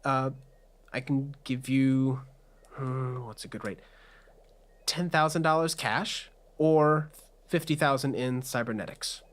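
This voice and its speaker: A man's voice: mid-range voice, slow, close to an everyday voice. A man playing an eager, amoral back-alley cybernetics surgeon with a blithe, hesitant salesman's patter in a tabletop game. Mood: blithe, businesslike.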